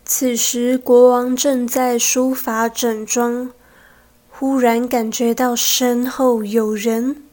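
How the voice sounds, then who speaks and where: A woman's voice: on the high side, little pitch movement, slow, a little mellow, a little hiss or hum. A young woman reading scripted narration for a student radio drama. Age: young adult.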